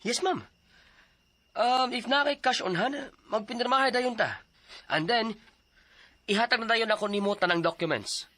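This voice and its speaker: A polite, persuasive Cebuano land seller, businesslike in manner. The pitch swings widely in a lively delivery typical of Filipino radio drama. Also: moderate pace, pauses often.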